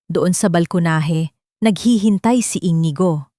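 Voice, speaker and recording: A woman's voice: lowish voice, unhurried, warm tone, dry recording, studio quality. A woman narrating a scripted story in a dramatic storytelling style.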